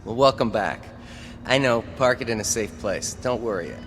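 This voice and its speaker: A man's voice: mid-range voice, animated, moderate pace, a bit bright, natural voice. Echoey, easygoing parking attendant played by a man. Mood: friendly. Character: friendly, deferential, easygoing male parking attendant. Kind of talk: audio drama acting. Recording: echoing hall, faint vehicle noise.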